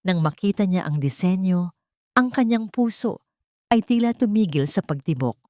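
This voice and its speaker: A woman with a fairly low voice narrates a scripted dramatic love story. The recording is close and dry, as in an audio drama. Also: animated, normal speed, warm tone, dry recording.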